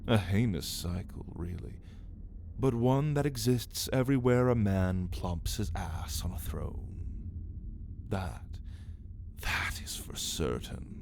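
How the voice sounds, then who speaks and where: A man playing an ancient, arrogant male vampire in an ASMR roleplay, taunting the listener theatrically with drawn-out, partly whispered words. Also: low voice, expressive, vocal fry, a little mellow, a little hiss or hum, heavily acted. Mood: wry, pitying.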